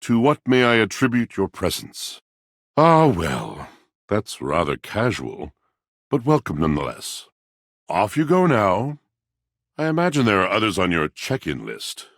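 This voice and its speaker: An actor playing a grandiloquent, melancholy washing machine in a video game, with florid, courtly, old-fashioned diction and a gravelly voice. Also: male voice, wildly swooping pitch, unhurried, no room sound, clean studio sound, exaggerated voice. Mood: grand, courtly. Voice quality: gravelly, deep. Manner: theatrical, florid, formal old-fashioned diction, savoring words. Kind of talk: theatrical video game character acting.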